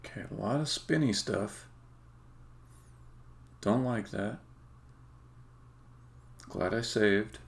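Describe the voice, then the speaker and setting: A man live-streaming game development, thinking aloud in halting, muttered, exasperated asides, unscripted and talking to himself. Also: on the low side, gravelly, a little hiss or hum.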